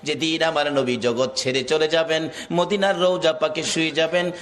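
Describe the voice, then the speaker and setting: A man's voice: on the high side, steady tone, normal speed, a bit bright. A man preaching an Islamic sermon as dramatic, impassioned storytelling, moving between narration and melodic, tearful cries. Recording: long echoing hall reverb.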